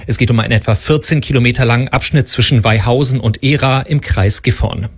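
Husky man filing a news report. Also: mid-range voice, a little fast. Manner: matter-of-fact.

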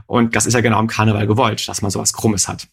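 Erklärender Podcast-Moderator, geduldig fragend. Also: male voice, on the low side, little pitch movement, fast, creaky, breathy edge. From Rhineland, Germany. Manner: patient, quizzes his co-host with questions. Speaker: podcast co-host explaining numbers. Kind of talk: explanatory conversational chat.

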